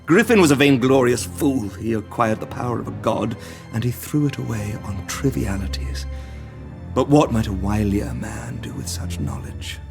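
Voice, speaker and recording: Male scheming doctor for audio drama: formal, precise, courteously menacing, medium pitch. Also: expressive, normal speed, creaky, music in the background. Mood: grandiose. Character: educated male doctor, polite, cunning, power-hungry villain.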